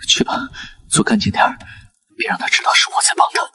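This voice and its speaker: A man playing a ruthless, secretly devoted young prince, commanding and intense, in a period animated drama. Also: fast talker. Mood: cold resolve.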